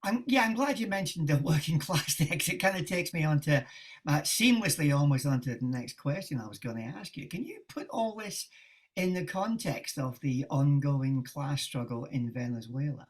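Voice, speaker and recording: A man's voice: on the high side, lively intonation, normal speed, recorded from a distance. A man hosting a podcast interview, asking polite questions and laughing lightly now and then. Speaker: podcast host.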